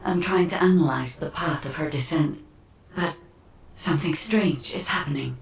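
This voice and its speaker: A woman voicing a calm, mysterious artificial intelligence guide in a video game, formal and breathy, with an ethereal echo. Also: sits low, moderate pace. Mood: calm, puzzled. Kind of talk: dramatic video game cutscene acting. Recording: echoing, distant-sounding processed voice.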